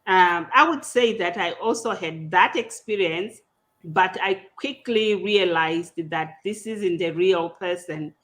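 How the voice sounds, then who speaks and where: A woman with a Zimbabwean accent, a native Shona speaker, hosting an online panel in a deliberate, explanatory, conversational way. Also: mid-range voice. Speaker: podcast host. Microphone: video call.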